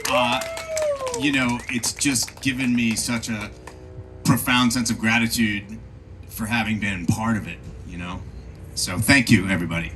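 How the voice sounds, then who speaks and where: Brief: earnest, halting actor, a man with a lowish voice, faint hall noise. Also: fairly even intonation. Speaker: panel guest, actor. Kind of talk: live convention panel.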